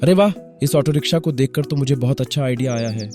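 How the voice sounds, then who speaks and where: A man's voice: medium pitch, fast, warm tone, soft background music, lightly acted. A man voicing a poor, kind village rickshaw driver in a cartoon, earnest and exclamatory. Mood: eager. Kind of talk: Hindi cartoon voice acting.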